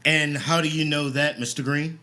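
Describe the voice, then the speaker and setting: An actor playing a sardonic, insinuating police detective in a radio play, needling and mock-polite. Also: male voice, a little high, fairly even intonation, normal speed, pushed and bright. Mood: probing, mock-polite.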